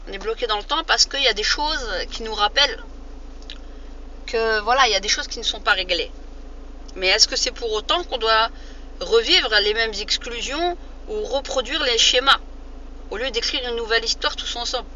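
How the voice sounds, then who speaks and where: A woman, giving an informal, emphatic opinion monologue to viewers, often halting and repeating phrases to drive a point home. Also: fairly high pitch, sharp tone. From France. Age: 40s. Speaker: vlogger addressing viewers. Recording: muffled lo-fi audio with faint noise.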